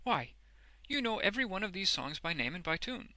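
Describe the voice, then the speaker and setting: A man's voice: high voice, lively intonation, normal speed, gravelly, bright, forceful tone, dry recording, voice pushed up, full character voice. A man voicing a hearty, uneducated old working man in a storybook, blunt and exuberant, with emphatic, ungrammatical speech. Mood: admiring.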